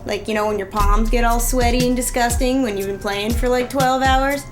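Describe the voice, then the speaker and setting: Higher-voiced woman reviewer over music. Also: steady tone, moderate pace. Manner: chatty, slangy, informal. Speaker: tech gadget reviewer. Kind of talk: casual product review. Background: prominent music.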